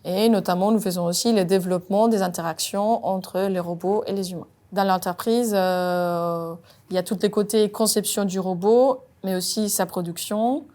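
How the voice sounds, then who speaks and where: A woman, speaking French as a robotics engineer and pausing often on "euh". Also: mid-range voice, steady tone, dark tone. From Colombia. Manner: measured, frequent "euh" hesitations. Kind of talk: job interview-style monologue.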